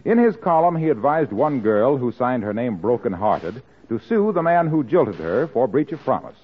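A man's voice: medium pitch, very animated, normal speed, slightly rough. A man announcing an old radio show in an emphatic, scripted 1940s sales style. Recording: muffled old radio recording.

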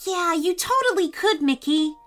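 A woman voicing an excited young boy in a children's story, with an eager, boyish, pleading manner. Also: extremely high pitch, moderate pace, faint music behind, pitched above the performer's own voice. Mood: eager, persuasive. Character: two excited, eager young brothers on vacation.

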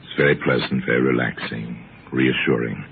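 A man narrating a vintage radio mystery in a wry, confiding, deliberate storytelling manner. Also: a bass voice, fairly even intonation, slight background noise. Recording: thin, narrow old radio recording, music. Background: dramatic music.

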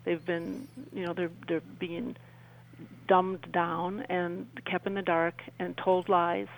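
A woman's voice: fairly low pitch, little pitch movement, takes it slowly, slight background noise, low-quality recording. A woman speaking earnestly and emphatically as a guest in a radio interview, often repeating phrases.

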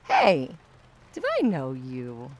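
A woman's voice: very deep voice, wildly swooping pitch, slow, darkish tone, full character voice. A woman playing a tipsy, nosy and pushy young neighbour in a comic audio drama, chatty, teasing and insistent. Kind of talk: audio drama comedy acting.

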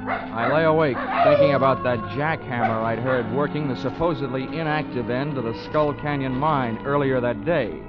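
Actor as a wry American radio detective, muffled. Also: male voice, mid-range voice, lightly acted. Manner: wry, deadpan wisecracks. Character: wry, wisecracking male freelance insurance investigator. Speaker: lead character and narrator. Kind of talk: 1940s radio detective narration and dialogue. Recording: muffled 1940s radio with music. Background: music.

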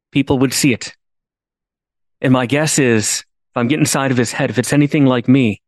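A man's voice: mid-range voice, no room sound. Earnest male podcast host, dry close sound. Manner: earnest, repeats words when restarting, rises to emphatic pleas. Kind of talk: storytelling monologue.

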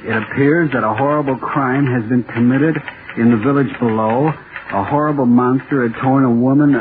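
Haunted playwright, played by a male actor: frantic, repetitive, theatrical 1930s horror radio, mid-pitched, thin noisy transmission. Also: moderate pace, narrow, tinny audio, natural voice. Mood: dramatic recitation. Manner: stammering, repeating himself frantically. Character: terrified radio playwright haunted by his own monster.

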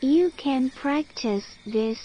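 A woman narrating a guided sleep meditation in short phrases with long pauses between them. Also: sits high, mellow, a little hiss or hum.

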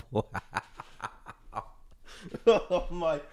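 A man's voice: on the high side, animated, no room sound, clean studio sound. A young man co-hosting a podcast while he has a cold, chatty and enthusiastic. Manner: enthusiastic, chatty, quick to laugh. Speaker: podcast co-host. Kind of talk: casual chat.

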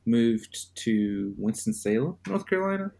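A man from Zimbabwe, a podcast guest, telling his personal story in a measured, reflective way. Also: mid-range voice, sing-song, gravelly, mellow.

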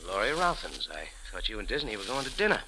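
An actor playing a tough, wry American bar owner turned sleuth in clipped, sardonic 1940s radio drama style. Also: male voice, lowish voice, big pitch swings, fast talker, background noise. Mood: wry, guarded. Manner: clipped, hard-boiled, sardonic. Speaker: lead actor and narrator. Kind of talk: 1940s radio detective acting, first-person narration. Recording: old 1940s radio recording, music behind narration.

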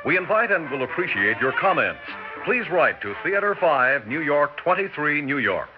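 A man announces in the formal style of 1960s American radio. His mid-range voice moves expressively through scripted credits. Music plays clearly behind him on a dull, old recording. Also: a little high, very animated, normal speed.

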